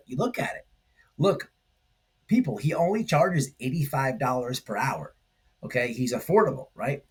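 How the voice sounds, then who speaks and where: A man hosting a commentary stream, talking casually and haltingly, repeating phrases for emphasis. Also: a little high, husky. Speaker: host of a livestream commentary channel. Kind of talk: casual rambling drama commentary.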